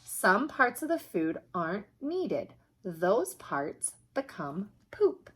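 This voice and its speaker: A woman's voice: mid-range voice, very animated, slow pace, a bit bright, breathy edge, heavily acted. A woman voicing a kindly children's doctor in a picture book, explaining things patiently and encouragingly.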